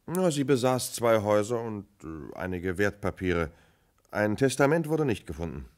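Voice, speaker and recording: A police lieutenant in a radio crime play. He has a low voice and reports in a matter-of-fact way, patiently laying out details as a subordinate would. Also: sing-song, normal speed. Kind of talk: German radio crime drama acting.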